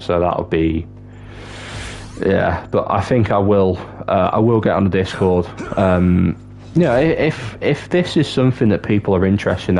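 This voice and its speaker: Low-voiced young man chatting on stream. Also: darkish tone. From North Wales. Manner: chatty, halting, with many restarts. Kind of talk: casual livestream chat. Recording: game music clearly behind the voice. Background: game music.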